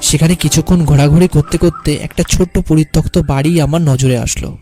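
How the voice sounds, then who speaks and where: A man playing a carefree, stubborn young city poet who tells his own tale in an animated horror story. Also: fairly high pitch, fairly quick, faint music behind, close to an everyday voice. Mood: calm storytelling. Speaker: narrator and lead character. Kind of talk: first-person story narration and cartoon dialogue.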